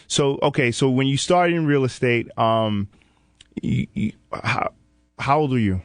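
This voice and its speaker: A man's voice: medium pitch, animated, measured pace. A man from Haiti hosting a casual interview, informal and affirming, often echoing what his guest says. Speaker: podcast host.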